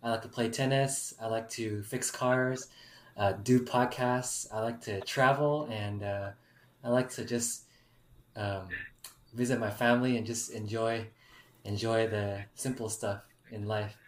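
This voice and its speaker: A man in his thirties from California, talking in a relaxed, soft-spoken way in a casual group chat. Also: lowish voice, unhurried, a little hiss or hum. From California, United States. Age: 30s. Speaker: podcast host. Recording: roomy echo.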